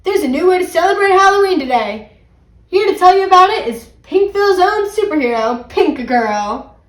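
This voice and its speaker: A woman reading the part of a kindly, brisk female town mayor in a storybook, with encouraging delivery. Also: very high voice, lively intonation, echoey room, voice pushed up. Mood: announcing, proud.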